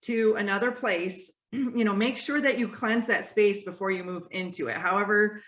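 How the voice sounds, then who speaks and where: A woman hosts a relaxed spiritual chat, her voice loud and lively, often breaking into laughter. She sits at an ordinary pitch, and the recording carries a roomy echo. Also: moderate pace. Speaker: podcast host.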